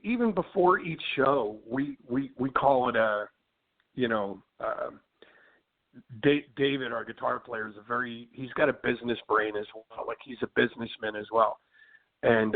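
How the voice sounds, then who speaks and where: A rock singer with a Philly accent talks loosely, piling up fillers and restarts. His voice sits at a middling pitch. The sound comes through a thin, tinny phone line. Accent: Philadelphia. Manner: rambling, many fillers and restarts, says "you know what I mean". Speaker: rock band singer, interview guest. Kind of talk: casual interview chat.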